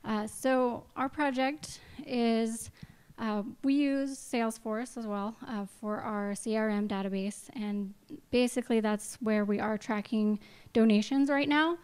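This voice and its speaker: A woman presents a project pitch for a non-profit in an informal way. Her voice is smooth and clear, sitting a bit high for a woman. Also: steady tone, a little slow, darkish tone.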